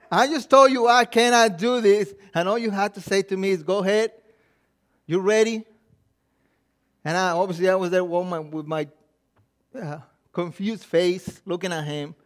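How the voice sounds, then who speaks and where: High-voiced Mexican-accented preacher. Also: male voice, normal speed, pauses often. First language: Spanish. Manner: animated storytelling with quoted inner voices, frequent restarts. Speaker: pastor. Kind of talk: sermon.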